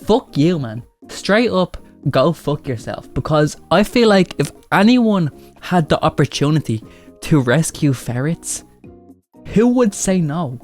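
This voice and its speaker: A man's voice: fairly high pitch, big pitch swings, measured pace, a little mellow, soft background music. A man giving casual commentary on video clips, blunt and mocking, and he swears freely. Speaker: commentary YouTuber.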